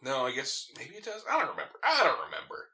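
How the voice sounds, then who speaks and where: A man's voice: mid-range voice, wildly swooping pitch, fast, bright, forceful tone. A man co-hosting a gaming show, chatting casually and reacting as the game is played. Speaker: gaming podcast co-host. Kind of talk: casual banter while playing a video game.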